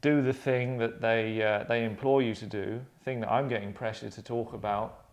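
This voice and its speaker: Man, 30s, mid-pitched, casual and dry, rambling host in a relaxed group discussion. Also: moderate pace. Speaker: writer and host. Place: furnished indoor lounge.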